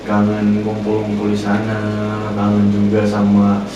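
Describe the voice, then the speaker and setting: Young male guest, 20s, easygoing and halting, far from the mic in a very echoey room. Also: on the low side, completely flat delivery, lo-fi sound. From Manado, Indonesia. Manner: easygoing, loose and hesitant, many fillers. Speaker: actor guest. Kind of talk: casual interview chat. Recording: roomy echo from far off, noise behind.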